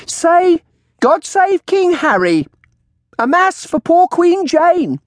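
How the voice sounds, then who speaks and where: A man voicing a shrill, bold old woman who hawks her wares to a street crowd in an audiobook. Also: big pitch swings, takes it slowly, no room sound, clean studio sound, exaggerated voice. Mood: commanding. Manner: bold, haranguing street-seller's shouting. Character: fat, shrill old tavern woman hawking a talking bird.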